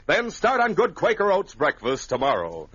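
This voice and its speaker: A man announcing an old-time radio serial and its sponsor pitches in a dramatic, punchy, hearty way. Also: wildly swooping pitch, moderate pace, a bit bright, breathy edge. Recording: dull lo-fi vintage radio recording.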